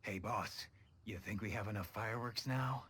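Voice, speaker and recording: A sharp-voiced, jittery bandit henchman. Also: on the low side, normal speed, husky. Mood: hesitant. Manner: hesitant, fawning, dropped-g slang. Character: eager, jittery male bandit henchman, deferential to boss. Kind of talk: animated video game acting.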